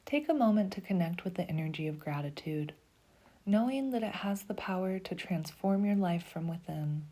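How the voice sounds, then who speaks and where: A woman leading a guided meditation in a soft, slow, soothing voice, often dropping to a breathy half-whisper. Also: fairly low pitch. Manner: slow, soothing, even pacing.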